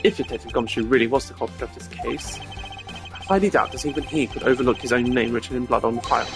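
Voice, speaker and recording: A composed, exacting male prosecutor, as a streamer puts him on, speaking in a measured, cutting way. His voice has a gravelly fry at the ends of phrases, and game music plays steadily behind him. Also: medium pitch, pitched below the performer's own voice, close to an everyday voice. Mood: coolly logical. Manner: formal, measured and faintly condescending. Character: cool, precise male prosecutor, formal and cutting.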